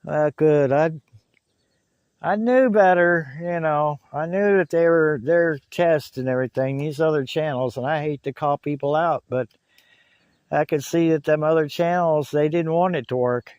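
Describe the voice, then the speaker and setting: A man's voice: a little high, normal speed, darkish tone, clean tone, dry recording, dull audio. A man hosting a gear review, talking casually and in folksy, colloquial phrasing to camera and over a two-way radio. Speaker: video host reviewing walkie-talkies. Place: outdoors on a hill.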